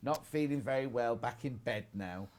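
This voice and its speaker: A man's voice: medium pitch, darkish tone. Chatty British male shopping channel host. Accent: British English. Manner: chatty, quick and jokey. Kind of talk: casual chat while sewing on air.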